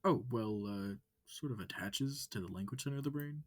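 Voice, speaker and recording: A man's voice: medium pitch, moderate pace, dry recording, clean studio sound, close to an everyday voice. A man voicing a curious, eager alien wolf man from a visual novel, with hesitant, questioning delivery. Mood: explaining, hesitant. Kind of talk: visual novel character reading.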